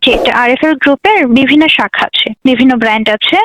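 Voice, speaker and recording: A woman's voice: high voice, animated, quick pace, highs cut off, background noise. A young Bangladeshi woman working as a customer service agent, speaking in a polite, formulaic, formal way on the phone.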